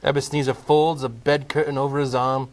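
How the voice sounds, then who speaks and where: A man narrating a scripted comic play as a dramatic storyteller, in measured, deliberate phrases. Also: medium pitch, level tone.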